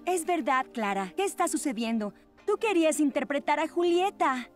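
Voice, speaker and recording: A woman's voice: high-pitched, animated, moderate pace, soft background music. A woman voicing a sensible, reassuring teenage girl in a cartoon. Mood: serious.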